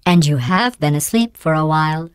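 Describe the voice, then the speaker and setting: A coldly sarcastic female AI overseer, voiced by a woman, delivers test announcements in a calm, clipped, deadpan manner with quiet menace. Her voice sits low for a woman and comes across smooth and resonant. The recording is close and dry, like a video game dialogue line. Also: moderate pace, no room sound, natural voice. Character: cold sarcastic female AI running test chambers.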